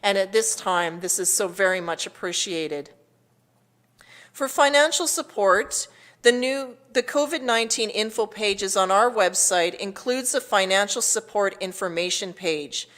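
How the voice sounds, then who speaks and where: A woman with a Canadian accent speaking as mayor, reading out a formal public address in a measured, official manner. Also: medium pitch, fairly bright tone.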